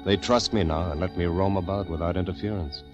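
Actor as earnest anxious gentleman, very low voice, kindly, inquisitive, measured diary delivery, 1940s radio drama, music underneath. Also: fairly even intonation, lo-fi sound, natural voice. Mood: anguished narration. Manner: measured diary narration, stammers when agitated.

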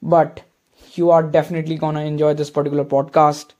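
A man's voice: on the high side, flat delivery, fast talker, darkish tone. A man hosting a podcast, opening the episode and asking his guests questions in an earnest, slightly hesitant way. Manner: earnest, repeats phrases, hesitant fillers. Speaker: podcast host. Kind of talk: hosted podcast intro and interview.

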